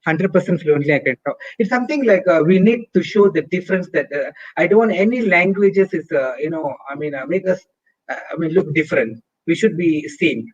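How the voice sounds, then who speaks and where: A man's voice: on the high side, lively intonation, a little fast, slightly rough, no echo. Earnest man on a dull call. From Malaysia. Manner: earnest, hesitant, many uh fillers. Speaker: guest on a live stream. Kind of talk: casual video-call chat.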